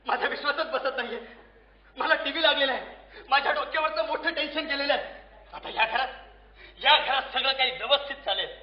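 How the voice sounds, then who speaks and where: Excitable family father, played by a male actor, very high pitched, exclamatory comedy stage delivery in an echoey hall. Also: a little fast. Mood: excited. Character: excitable Marathi family man, father who won a television. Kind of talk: amateur comedy stage acting. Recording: echoey, muffled stage recording, faint noise.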